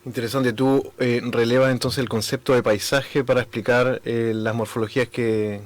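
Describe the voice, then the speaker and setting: Male radio host, mid pitch, halting questions. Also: little pitch movement, no echo. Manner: hesitant, many fillers. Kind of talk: radio interview. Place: radio studio.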